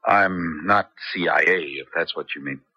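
An actor playing a shrewd, determined American man planning a risky rescue, measured and earnest, in a 1970s radio drama. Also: low-pitched, pushed and bright, breathy edge, no echo, exaggerated voice. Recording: dull vintage radio broadcast.

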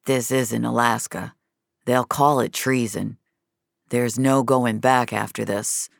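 A man's voice: mid-range voice, steady tone, slow pace, gravelly, dry recording, studio quality. A woman voicing an older retired man, wry and reassuring, in an audio drama. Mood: wary.

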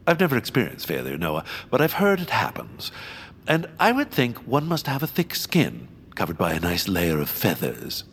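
An actor playing a pompous, haughty villainous land baron in a comedic audio drama, theatrical and dismissive. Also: male voice, on the low side, wildly swooping pitch, moderate pace, a bit bright, a little hiss or hum, exaggerated voice. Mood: lofty, dismissive.

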